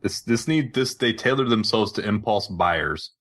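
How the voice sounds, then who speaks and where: A man's voice: on the low side, animated, moderate pace, a bit bright. A man hosting a casual gaming podcast, chatting informally. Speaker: podcast host.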